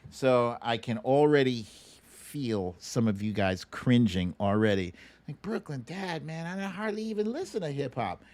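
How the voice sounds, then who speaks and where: American man chatting with lively, swinging pitch. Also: moderate pace, no echo. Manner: informal, opinionated, self-deprecating, often repeats words for emphasis. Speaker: livestream host. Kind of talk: casual livestream chat.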